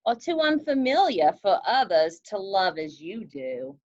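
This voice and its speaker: A woman's voice: mid-range voice, sing-song, a little slow, highs cut off. A woman reading a picture book expressively and chatting about it as she goes. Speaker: story reader, co-host.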